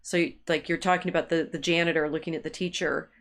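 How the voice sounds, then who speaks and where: A woman co-hosting an intellectual discussion, thinking aloud in a halting way and repeating words as she searches for phrasing. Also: deep voice, fairly even intonation. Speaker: co-host of a discussion stream.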